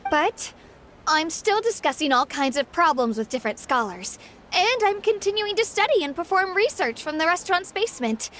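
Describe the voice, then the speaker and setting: An eager young woman who is keen on ships and engineering. Her high voice sounds earnest, with a faint hiss of background noise. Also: big pitch swings, normal speed, fairly bright tone, full character voice. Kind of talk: video game voice acting.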